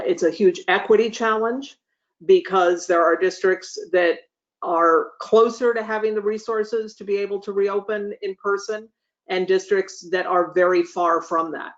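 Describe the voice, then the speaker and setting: A meeting facilitator giving careful, measured explanations and answers, choosing words hesitantly in an online meeting. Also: medium pitch, a bit bright. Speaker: meeting facilitator answering board chairs' questions. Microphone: video call. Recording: dull lo-fi video call audio.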